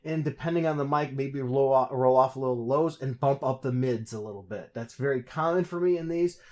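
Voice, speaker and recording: A man at an everyday mid pitch talks through a hands-on gadget review in a relaxed, chatty way. He often stops mid-word and restarts, correcting himself as he goes. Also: brisk. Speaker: host reviewing a product. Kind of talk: casual product review.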